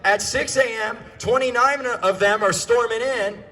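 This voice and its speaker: High, forceful American man giving a rally speech. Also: moderate pace, slight background noise. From United States. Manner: emphatic, repeats key phrases for effect; projected, often shouting. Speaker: political activist addressing a rally.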